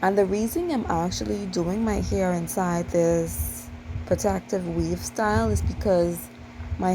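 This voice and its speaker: A Bahamian woman talks viewers through a hair tutorial in a chatty, repetitive way. Her voice has a gravelly fry to it, and clear background noise sits under the recording. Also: lowish voice, a little mellow, rough recording. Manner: chatty, repeats herself, addresses viewers as you guys. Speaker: channel host.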